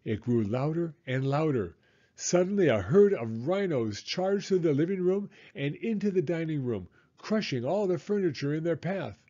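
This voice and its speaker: A man reading a children's storybook as an expressive, dramatic narrator. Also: a little high, normal speed, highs cut off.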